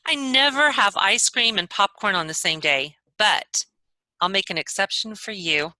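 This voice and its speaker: A woman's voice: medium pitch, lively intonation, unhurried, bright, forceful tone, dry recording, low-quality recording. A woman playing a squeamish, admiring young friend from the 1940s in a table read of a stage play over a video call. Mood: cheerful. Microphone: computer mic on a video call.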